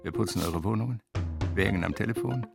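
A deep, creaky migrant worker. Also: male voice, fast, over loud music. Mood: sober. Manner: clipped, list-like short statements. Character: sober choral voice of undocumented migrant workers. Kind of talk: radio play choral recitation.

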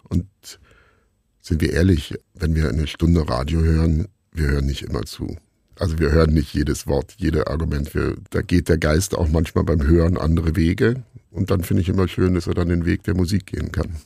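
A man's voice: extremely low pitch, fairly even intonation, normal speed, vocal fry, warm tone, husky, no room sound, studio quality. A man being interviewed as a radio play maker, thinking aloud in a reflective, discursive way.

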